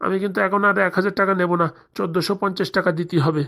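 Someone acting a rapid-fire quarrelsome villager. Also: mid-range voice, little pitch movement, pushed and bright. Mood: blustering. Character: quarrelsome village characters, threatening elder and evasive father. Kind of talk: comedy cartoon voice acting.

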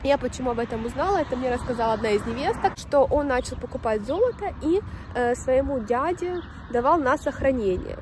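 A woman's voice: high voice, animated, brisk. A young woman who is slightly unwell with a runny nose, chatting informally and in slang as she vlogs outdoors on a phone held at arm's length. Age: young adult. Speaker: vlogger. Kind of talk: casual walking vlog chat. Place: outdoors on a city street beside traffic. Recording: clear outdoor street and traffic noise.

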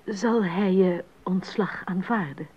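A woman's voice: lowish voice, slow, a little mellow, husky. A woman playing an affectionate, attentive wife in a 1980s radio play, with tender, formal diction. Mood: gently probing. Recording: dull 1980s radio recording.

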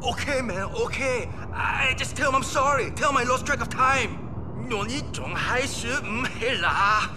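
An actor playing a nervous, weaselly gambling debtor in a video game, excitable and slangy. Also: male voice, very high-pitched, moderate pace, long pauses. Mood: panicky, pleading. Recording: noisy urban ambience behind game dialogue.

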